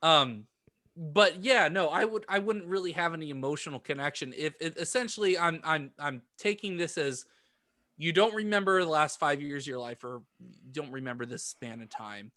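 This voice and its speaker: A man co-hosting a podcast in casual group chat, talking in a halting, rambling way. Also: on the high side, expressive, bright, forceful tone. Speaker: podcast co-host.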